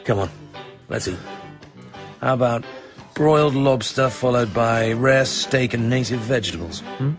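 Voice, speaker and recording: An actor in a radio drama playing a cool, commanding British secret agent who asks dry, controlled questions. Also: male voice, mid-range voice, unhurried, long pauses, over music, lightly acted. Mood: calm, dry. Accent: British English.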